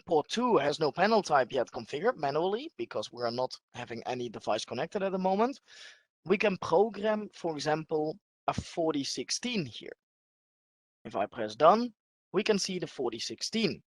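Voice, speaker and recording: Guy doing a software walkthrough, kinda high voice, halting step by step, really dry sound. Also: no echo. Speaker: webinar presenter. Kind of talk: live software demonstration.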